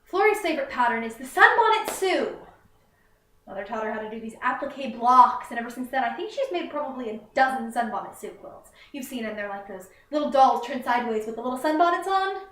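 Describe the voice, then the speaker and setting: A woman's voice: a little high, expressive, a little fast, a bit bright, slight background noise. A young woman playing a proud, plainspoken country girl who rambles in a folksy way in a theatrical monologue. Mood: proud, chatty. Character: proud, chatty country girl, doctor's daughter. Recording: roomy, echoing, far from the mic.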